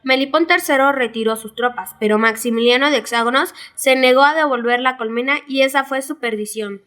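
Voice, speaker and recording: High-voiced Mexican child narrator. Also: fairly quick, sharp tone. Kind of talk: scripted school audio drama narration.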